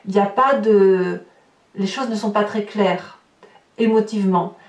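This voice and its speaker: A woman's voice: mid-range voice, fairly even intonation, measured pace, a little mellow. A woman giving a spontaneous tarot guidance reading, explaining reflectively as she searches for words and rephrases. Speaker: tarot reader hosting an online horoscope reading. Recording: roomy echo, distant microphone.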